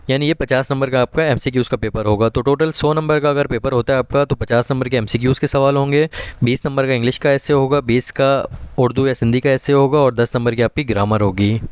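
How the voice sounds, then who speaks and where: Man, Pakistani accent, smooth clear voice, dry sound, methodical explainer, informal instructional voice-over. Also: mid-range voice, no room sound. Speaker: exam guidance presenter.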